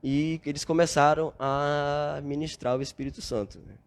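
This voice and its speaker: A man's voice: a little high, steady tone, normal speed. A young Brazilian man, a podcast guest, telling his story in an earnest, reflective way with frequent filler tags. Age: young adult. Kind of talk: casual storytelling interview.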